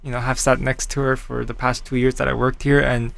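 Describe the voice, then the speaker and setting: Brief: young male musician guest, modest, halting answers, mid voice. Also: medium pitch, flat delivery, creaky, fairly bright tone. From Canada. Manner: modest, hesitant with many fillers. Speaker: cellist guest. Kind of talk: casual interview answers.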